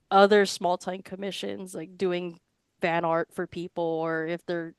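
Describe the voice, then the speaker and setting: A young woman from Hawaii chats loosely as a podcast guest, piling on "like" and side remarks. Her voice is smooth and clean, close to the mic in a dry setting. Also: fairly low pitch, no room sound. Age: 20s. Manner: chatty, rambling, lots of "like". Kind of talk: casual interview answers.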